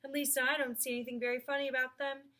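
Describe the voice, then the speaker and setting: A woman's voice: high voice, level tone, quick pace, sharp tone. A woman voicing a lazy, unimpressed panther from a storybook, in a dry, nonchalant and dismissive manner.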